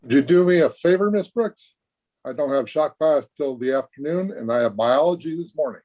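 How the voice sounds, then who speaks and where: An actor playing an earnest, guileless teenage high school boy, chatting eagerly in an old-time radio comedy. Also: lively intonation, normal speed, music in the background, close to an everyday voice. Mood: coaxing. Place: remote recording over video call.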